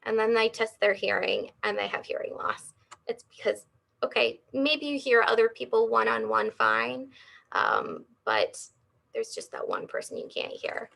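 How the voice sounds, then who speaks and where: A woman's voice: fairly high pitch, huge pitch swings, moderate pace, vocal fry, a bit bright. A woman in her thirties, an audiologist, giving an educational health talk and explaining things plainly with everyday examples. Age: 30s.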